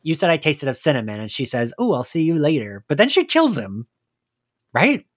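A man co-hosting a podcast, chatting casually and excitedly through a recap with plenty of filler words. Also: on the high side, normal speed. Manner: animated, giggly, frequent filler words. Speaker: podcast co-host. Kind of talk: casual chat recapping an audio drama.

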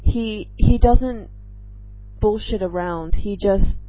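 A young woman from England hosts a casual podcast, her mixed accent leaning mostly American. She talks slowly and nervously, drifting between thoughts with plenty of ums. The recording sounds dull and lo-fi, with faint music underneath. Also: medium pitch. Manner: nervous and rambling, lots of ums. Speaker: podcast host. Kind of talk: casual solo chat.

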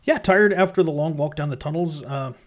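A man's voice: a little high, fairly quick. A man hosting and narrating a tabletop game as game master, upbeat and chatty.

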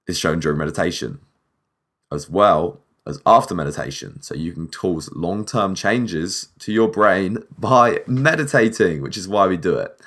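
A young man with a husky, deep voice, hosting a solo podcast and rambling with restarts, a bit dehydrated. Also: fairly bright tone. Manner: rambling, repeats and restarts words. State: a bit dehydrated and short on sleep. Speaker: podcast host.

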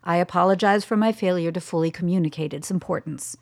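An actress playing a careful middle-aged government scientist in a radio drama, speaking formally, with measured deference. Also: female voice, fairly low pitch, dry recording, studio quality. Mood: measured, earnest.

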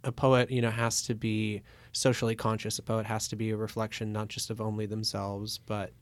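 A man in his thirties, born in Moscow, talking thoughtfully as a guest with many pauses and fillers. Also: lowish voice, completely flat delivery. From Russia. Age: 30s. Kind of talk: casual interview talk.

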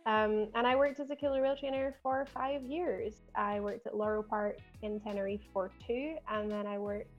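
Chatty woman with a softened Glaswegian accent. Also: mid-range voice, flat delivery, dull audio, soft background music. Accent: softened Glaswegian Scottish. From Scotland. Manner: chatty and earnest, quick laughs. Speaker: podcast guest. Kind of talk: casual chat.

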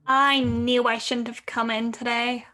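A high-pitched office worker in a radio comedy, played by a woman. She speaks slowly, grumbling in a dry, deadpan way. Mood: dry complaint. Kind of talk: improv podcast radio play acting.

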